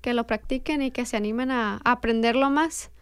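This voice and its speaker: A woman's voice: a little high, brisk, clean tone, dry recording, clean studio sound. A woman with a Mexican accent interviewing a guest in a casual chat, often hesitating and restarting her sentences. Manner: hesitant, many restarts and fillers.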